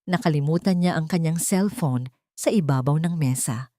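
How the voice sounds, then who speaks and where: Low-voiced woman narrating drama, dry recording. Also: normal speed, warm tone, no echo. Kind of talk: scripted dramatic story narration.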